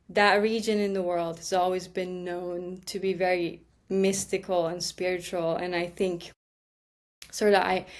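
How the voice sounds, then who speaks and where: Woman from iran, slow and hesitant, lots of ums, lowish voice. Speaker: podcast guest. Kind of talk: interview, telling her life story.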